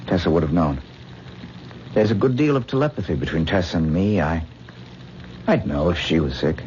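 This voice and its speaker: An actor playing a famous, self-pitying stage actor who gives lofty, melodramatic speeches in a 1970s radio drama. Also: male voice, sits low, animated, moderate pace, darkish tone. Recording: dull old radio broadcast with faint hiss.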